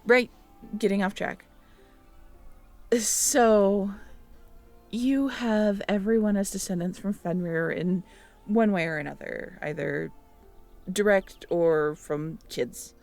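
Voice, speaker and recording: A woman acting a caring, teasing, curious young girlfriend in an intimate roleplay audio drama, talking softly and conversationally to the listener. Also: medium pitch, takes it slowly, vocal fry, pauses often, soft background music. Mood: curious, focused. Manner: soft, intimate, conversational, playful.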